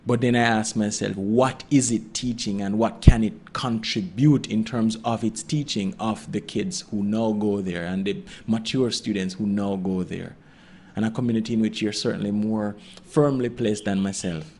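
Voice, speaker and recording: A man's voice: on the low side, fairly even intonation, normal speed, a little mellow, husky. A man interviewing a guest, asking a long, courteous, rambling question.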